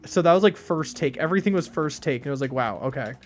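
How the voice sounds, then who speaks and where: A young man in his late teens chats casually while he streams a game. His voice sits slightly higher than most men's. He jokes with a flat, deadpan delivery and rambles through fillers and restarts. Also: fast talker. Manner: deadpan, flat delivery even when joking. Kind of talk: casual live-stream chat.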